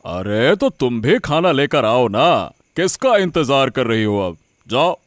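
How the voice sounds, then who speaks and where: An actor playing an excitable, doting older village father-in-law in an animated moral story. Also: medium pitch, slow. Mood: bossy, contented.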